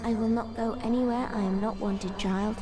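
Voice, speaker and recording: A woman's voice: on the high side, fairly even intonation, normal speed, over music, close to an everyday voice. A woman playing a wise, formal seed spirit, speaking in a solemn, oracular way in an audio drama. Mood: urgent, solemn. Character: wise, solemn female magical seed spirit, ancient yet newborn. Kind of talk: fan audio drama acting.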